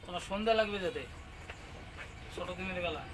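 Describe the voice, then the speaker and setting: A man's voice: high-pitched, brisk. A man chatting casually outdoors and giving easygoing directions and advice. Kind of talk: casual chat. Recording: loud outdoor noise with passing traffic.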